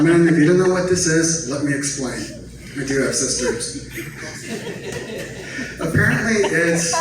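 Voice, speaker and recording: A man performing an amateur stand-up comedy set in a conversational, sarcastic, teasing way. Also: on the high side. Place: comedy bar stage. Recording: very echoey noisy venue, distant mic.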